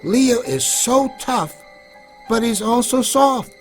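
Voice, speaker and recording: A man's voice: extremely high pitch, takes it slowly, faint music behind, voice pushed up. A man voicing a small, curious and brave female mouse in a storybook, with an earnest, pleading manner. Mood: curious wonder.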